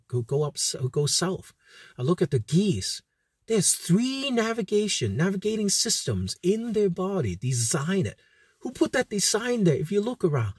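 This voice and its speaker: A man in his thirties giving an informal sermon as a pastor, speaking haltingly with frequent hesitations and repeated words. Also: sits high, darkish tone, slightly rough, no room sound, studio quality. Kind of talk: informal recorded sermon with Bible teaching. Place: inside a parked van at night.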